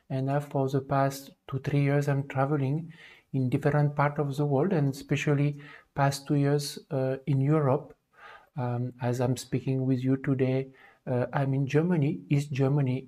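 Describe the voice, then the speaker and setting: A man from France, around forty, with a French accent hosts an online talk. His delivery is even and monotone, with a warm, dark tone. He pauses often and leans on fillers as he hunts for his English words. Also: medium pitch. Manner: hesitant, with many fillers. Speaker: livestream host. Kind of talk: livestream talk.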